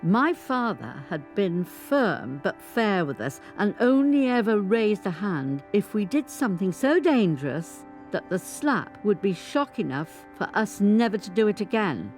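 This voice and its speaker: An actress playing a dignified woman of eighty-five, a survivor of an abusive marriage, telling her life story as a measured, articulate dramatic monologue. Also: mid-range voice, big pitch swings, darkish tone. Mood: grave, bitter. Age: older. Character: 85-year-old grandmother, abused former footballer's wife, dignified survivor. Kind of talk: dramatic first-person monologue, scripted storytelling. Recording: studio recording with guitar music underneath. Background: instrumental guitar music.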